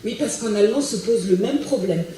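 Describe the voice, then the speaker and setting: Soft-toned woman lecturing in an echoey hall. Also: medium pitch, animated, fairly quick, far from the mic. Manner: thoughtful, many restarts and hesitations. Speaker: theology professor giving a lecture. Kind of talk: answering audience questions. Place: attic lecture hall in a school.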